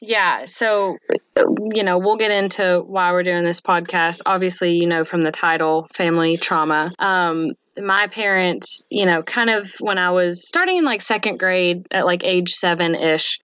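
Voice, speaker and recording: A woman's voice: lowish voice, a bit bright, clear, resonant tone, no room sound, lo-fi sound. A woman in her thirties with a light Southern US accent, co-hosting a podcast in casual chat, joking, laughing often and swearing freely. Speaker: podcast co-host.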